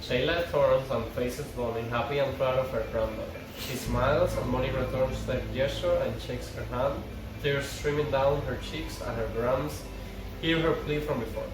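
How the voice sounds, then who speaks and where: A man's voice: medium pitch, normal speed, few pauses. A man reading stage directions aloud as narrator at an informal script table read. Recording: echoey, distant mic, clear background noise.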